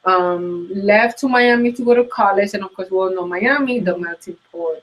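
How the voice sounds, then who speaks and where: A woman's voice: medium pitch, moderate pace, poor audio. A woman from Puerto Rico whose first language is Spanish, speaking English with a thick Puerto Rican accent, talking earnestly as an interview guest with frequent ums and restarts. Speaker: guest coach. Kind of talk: interview conversation. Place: New York.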